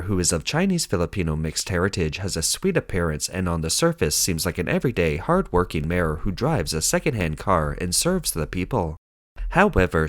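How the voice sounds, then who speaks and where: A man narrating a scripted news explainer in a measured, dramatic storytelling manner. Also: deep voice, no echo, clean studio sound.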